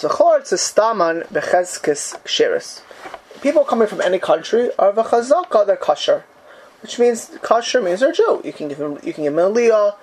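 A man's voice: high voice, slight background noise, low-quality recording. A man teaching a Talmud class in a conversational way. He keeps checking in with his students and acts out the people he quotes.

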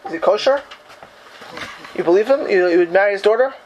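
A man's voice: high voice, expressive, a little fast, lo-fi sound, slight background noise. Man teaching a Talmud class. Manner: conversational, checks in with students, acts out quoted people.

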